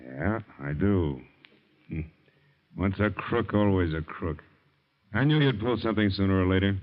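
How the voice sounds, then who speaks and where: A man's voice: low voice, animated, moderate pace. An actor playing a bitter, menacing disgraced policeman with taunting, hard-boiled talk in an old radio crime drama. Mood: gloating. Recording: muffled old radio recording.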